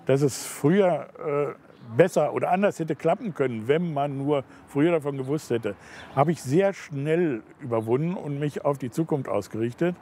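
A man's voice: a little high, moderate pace, dark tone, a little hiss or hum. A man in his sixties speaking thoughtfully in an interview, with some hesitation. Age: 60s. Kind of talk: reflective interview.

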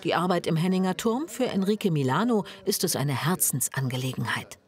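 A woman reading scripted voice-over narration for a TV report in a measured way. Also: fairly low pitch, expressive.

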